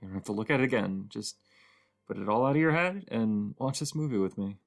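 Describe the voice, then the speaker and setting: A woman's voice: expressive, a little fast, heavily acted. A woman playing a cozy, teasing young roommate with a shy crush in an intimate audio roleplay, her voice sleepy and grumbly. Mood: teasing, reassuring. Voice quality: sleepy, grumbly low voice with creak.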